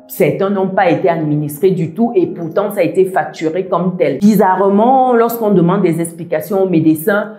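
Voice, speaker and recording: A woman vlogger speaking with a diaspora accent, explaining things in a measured, methodical way, with many hesitant pauses. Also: on the low side, dark tone, reverberant. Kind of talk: explaining to camera.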